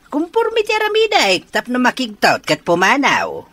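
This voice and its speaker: A man's voice: extremely high pitch, wildly swooping pitch, normal speed, bright, forceful tone, no echo, full character voice. An actor playing a quarrelsome, scheming father in a radio drama, brash and dismissive. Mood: scheming, mocking.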